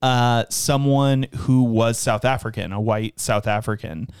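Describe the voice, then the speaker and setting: A man's voice: mid-range voice, steady tone, unhurried, darkish tone, smooth, dry recording, clean studio sound. A man co-hosting a movie podcast who explains things at length, full of hesitant fillers. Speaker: podcast co-host. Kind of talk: casual movie discussion.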